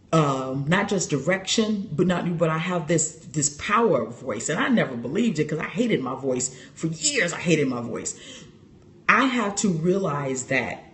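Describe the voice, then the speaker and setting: A woman hosting a casual livestream on her phone, animated and laughing often as she talks straight to her viewers. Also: low-pitched. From Chicago. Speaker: livestream host. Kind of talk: casual personal talk to viewers.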